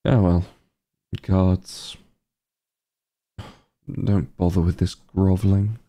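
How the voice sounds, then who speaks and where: A man playing a vain, disdainful evil sorcerer king in an ASMR roleplay, with a gravelly, breathy voice and a pompous, sardonic drawl. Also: low voice, level tone, extremely slow, mellow. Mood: imperious scorn.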